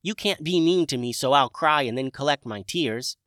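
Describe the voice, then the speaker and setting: A man voicing a tiny cheerful female wood sprite with a squeaky, chirpy voice. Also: normal speed, no room sound, studio quality, close to an everyday voice. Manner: chirpy, lively, high-pitched.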